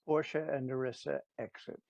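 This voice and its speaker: Medium-pitched man narrating stage directions. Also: steady tone, moderate pace, vocal fry, a little mellow. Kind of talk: scripted narration.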